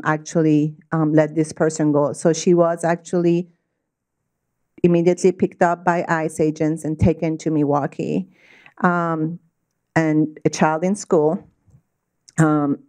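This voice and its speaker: A woman's voice: on the low side, a little mellow. A woman giving a talk and taking questions at a club meeting, conversational, with many fillers and restarts. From Spain. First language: Spanish. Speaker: guest speaker. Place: meeting room.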